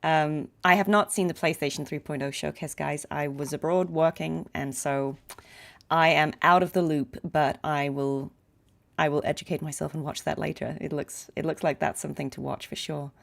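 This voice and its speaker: Low-voiced woman chatting on a game stream. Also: steady tone, moderate pace, vocal fry. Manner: chatty, informal, chuckles easily. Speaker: voice actress livestreaming gameplay. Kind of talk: casual livestream chat while playing a game. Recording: faint game music behind voice. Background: faint game music.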